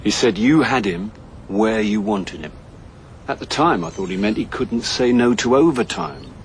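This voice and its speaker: Deep, wry English sleuth. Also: male voice, lively intonation, vocal fry, a little hiss or hum. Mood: quietly accusing. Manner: dry, deliberate, understated. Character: wry middle-aged amateur sleuth, dogged and droll. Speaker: narrator and lead actor. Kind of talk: radio drama dialogue and first-person narration.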